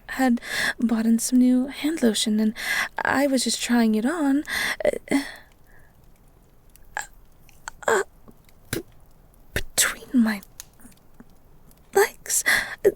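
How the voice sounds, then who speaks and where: A woman playing a shy, bookish young woman in a romantic audio roleplay, stammering and halting in a soft, breathy voice. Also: a little high. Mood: flustered, embarrassed. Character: shy, flustered young bookish woman living in a beast's castle.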